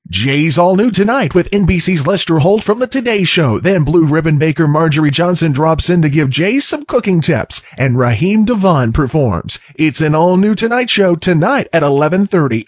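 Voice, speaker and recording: A male television announcer delivers scripted promos with punch and polish. His voice has a husky grain and swings widely in pitch. It sounds dry and close, like a studio voice-over. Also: no echo. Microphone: close studio voice-over microphone.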